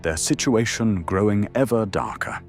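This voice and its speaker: A man's voice: fairly low pitch, sing-song, normal speed, vocal fry. A man delivering dramatic scripted narration for an audio drama. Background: faint music.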